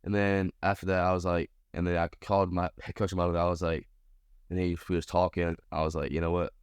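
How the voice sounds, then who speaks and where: A man's voice: low voice, flat delivery, quick pace, clear, resonant tone, no echo, clean studio sound. An American man of about twenty giving casual, slangy interview answers as a college baseball player. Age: around 20. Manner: informal, slangy.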